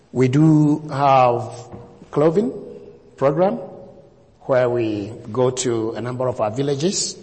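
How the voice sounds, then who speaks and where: A Ghanaian man in his fifties, a visiting preacher speaking to a church congregation, slow and deliberate, leaning on key words. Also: medium pitch, a little mellow. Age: 50s. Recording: long reverb of a hall.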